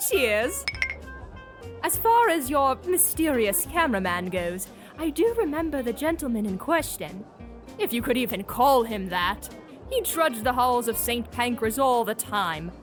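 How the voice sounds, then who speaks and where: An actress playing a refined, gossipy British society woman in an audio drama, coy, saucy and confiding. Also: on the high side. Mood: coy, friendly. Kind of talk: scripted audio drama acting. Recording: music behind the voice.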